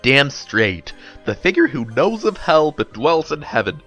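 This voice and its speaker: A man's voice: on the high side, sing-song, measured pace, a bit bright, soft background music, exaggerated voice. A man voicing a sardonic, blunt young woman who lectures knowingly in a dramatic storybook reading. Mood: sardonic, lecturing. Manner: wry, lecturing, profane.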